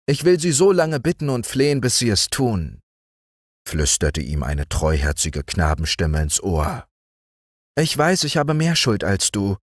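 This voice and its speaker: A man reading a story aloud and voicing an earnest, eager young boy in a high boyish voice. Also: dry recording, studio quality.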